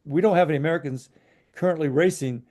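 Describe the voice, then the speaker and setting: A man's voice: a little high, fast talker, a little mellow. A man co-hosting a podcast, giving frank opinions in casual chat, hesitant and full of fillers. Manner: frank, hesitant, many "you know" fillers. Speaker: podcast co-host.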